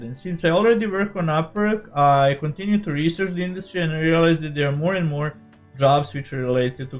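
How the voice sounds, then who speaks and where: A man with a slightly high voice and a Serbian accent, a native Serbian speaker, hosts an online channel. He delivers a prepared introduction straight to his audience. Faint music plays behind him. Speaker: host of a cryptocurrency investing channel. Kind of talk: scripted introduction to camera.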